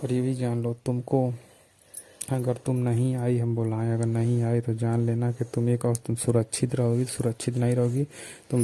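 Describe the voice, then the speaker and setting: Menacing, insistent male suitor, played by a man for a phone drama; eastern Hindi-belt accent, flat delivery, very mellow dark tone. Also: mid-range voice, close to an everyday voice. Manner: insistent, colloquial, domineering. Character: pushy, menacing male suitor making threats.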